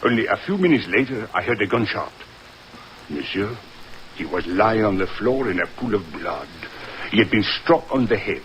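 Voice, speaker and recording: An actor playing a courtly, excitable French police inspector with a French accent and fussy, polite speech in an old radio drama. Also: male voice, lowish voice, normal speed. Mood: explanatory. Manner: fussy, polite, repeats himself. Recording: dull vintage radio recording, hissy.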